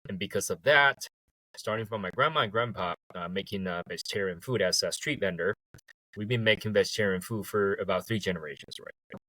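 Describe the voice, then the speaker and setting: A man, a guest talking in a relaxed way and laughing easily. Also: fairly low pitch, brisk, no room sound, low-quality recording. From Taiwan. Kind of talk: casual interview.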